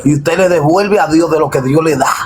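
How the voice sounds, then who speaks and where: High-voiced pastor, noisy recording. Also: male voice, a little fast, a little mellow. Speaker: pastor hosting a live discussion. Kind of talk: informal preaching and live chat.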